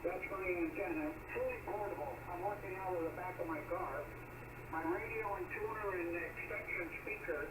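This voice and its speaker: A man in his late seventies chatting casually over ham radio in a hesitant, rambling, folksy way. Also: sits high. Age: late 70s. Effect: shortwave single-sideband radio transmission. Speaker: amateur radio operator. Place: outdoors portable, heard over a radio speaker. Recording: very noisy, roomy, distant radio signal. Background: loud radio static noise.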